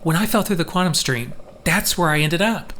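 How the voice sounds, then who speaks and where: Woman playing a blunt survivor type, pretty deep for a lady, dry and skeptical, radio play. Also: a little fast, a bit bright, slight background noise, close to an everyday voice. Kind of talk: audio drama acting.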